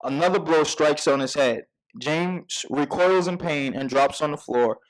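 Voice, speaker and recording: Man, slightly high-pitched, screenplay narrator, scripted stage directions, table read delivery. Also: measured pace, low-quality recording.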